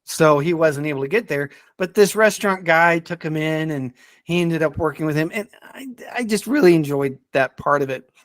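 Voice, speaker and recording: A man in a casual podcast discussion, speaking in a hesitant, halting way and often repeating words as he reviews stories. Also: on the high side, lively intonation, fairly bright tone, no room sound, studio quality.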